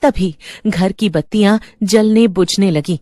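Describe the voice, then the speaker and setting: A woman's voice: mid-range voice, unhurried, warm tone, dry recording, clean studio sound. A woman narrator reading a scripted horror story in a dramatic storytelling style.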